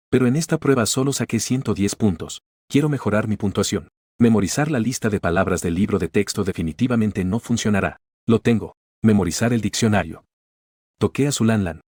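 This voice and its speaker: Dry, husky low male narrator. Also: darkish tone, no echo. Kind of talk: scripted story narration.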